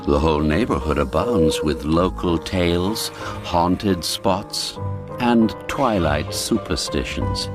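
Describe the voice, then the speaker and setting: A man with a low voice reads a classic tale as a narrator. His delivery is formal and measured, taken at a slow pace, with music playing softly behind him. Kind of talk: scripted literary narration of a classic folk tale.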